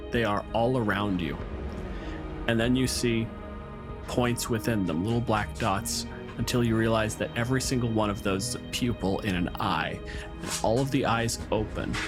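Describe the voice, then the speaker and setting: A man running a tabletop game as game master, making rulings and narrating scenes in deliberate, descriptive second person. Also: fairly low pitch. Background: music behind the voice.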